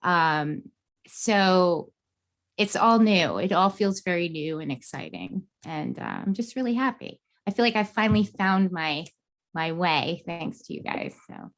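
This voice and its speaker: A woman's voice: on the low side, lively intonation, unhurried. A woman guest chatting casually and earnestly, often hesitating and repeating words as she goes. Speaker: writing course graduate, guest. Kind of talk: casual chat.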